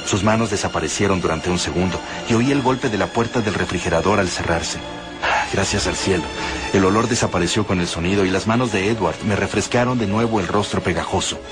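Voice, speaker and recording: A man narrating an audiobook with measured, dramatic storytelling. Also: low voice. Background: music.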